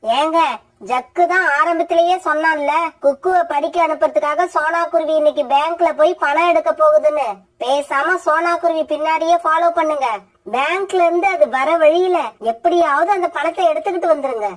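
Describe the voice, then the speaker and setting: A woman's voice: high-pitched, moderate pace, lightly acted. A woman voicing a scheming, nagging crow wife and mother in a cartoon fairytale, with an insistent manner. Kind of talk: animated cartoon fairytale dialogue.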